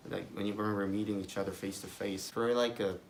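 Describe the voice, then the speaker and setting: A man speaks earnestly in an interview. His voice sits fairly low, with a faint background hiss in the recording. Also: fairly even intonation, a little fast. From Korea. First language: Korean. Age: 20s.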